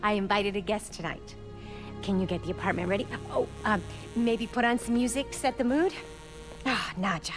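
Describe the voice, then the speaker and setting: A woman's voice: medium pitch, normal speed, over music, lightly acted. A woman playing a busy, affectionate middle-aged mother with a Puerto Rican accent, talking naturally and slipping between English and Spanish in a video game. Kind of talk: video game character acting, natural dialogue.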